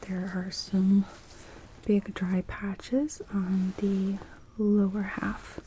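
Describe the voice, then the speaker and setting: Slow, whispering ASMR woman. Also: medium pitch, a little hiss or hum, rough recording. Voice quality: breathy, mostly whispered. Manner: gentle, unhurried explaining. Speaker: ASMR performer roleplaying an optometrist. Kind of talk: ASMR medical roleplay.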